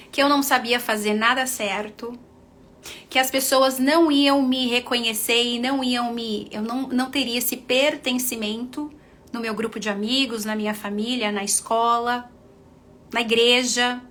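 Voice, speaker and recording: Bright-voiced Brazilian woman coaching viewers. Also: on the high side. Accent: Brazilian Portuguese. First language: Portuguese. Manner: warm, chatty, addresses viewers as friends. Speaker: confidence coach. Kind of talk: casual livestream talk to followers.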